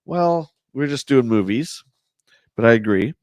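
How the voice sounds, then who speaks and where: A man with a hoarse voice hosting a casual livestream, chatting and answering viewer comments. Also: medium pitch, expressive, a little slow, no room sound, studio quality. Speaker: livestream host. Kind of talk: casual live chat reading a magazine.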